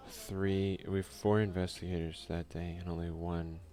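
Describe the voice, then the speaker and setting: A man softly giving casual ASMR let's play commentary, muttering offhand asides and reading game text aloud. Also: low-pitched, level tone, normal speed, faint music behind. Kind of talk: ASMR gaming commentary, soft-spoken and casual.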